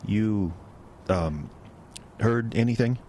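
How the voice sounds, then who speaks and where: A man's voice: fairly low pitch, dark tone, a little hiss or hum. A man playing a nervous, spooked truck driver in an audio drama, hesitant and stammering as he talks. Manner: halting, stammering, uneasy.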